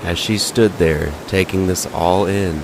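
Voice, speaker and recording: A man reading scripted ghost stories as a narrator, in a measured, deliberate way with pauses. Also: deep voice, steady tone, noisy background.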